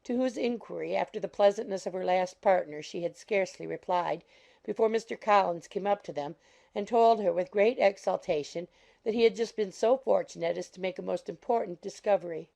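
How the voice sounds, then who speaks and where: A woman's voice: medium pitch, brisk, vocal fry, mellow. A woman reading scripted narration of a classic novel as an audiobook narrator.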